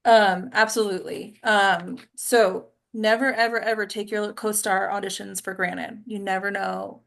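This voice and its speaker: A woman's voice: mid-range voice, flat delivery, a little slow, gravelly. A woman leading an informal group discussion as an acting coach, speaking in an encouraging, emphatic way with frequent check-in questions.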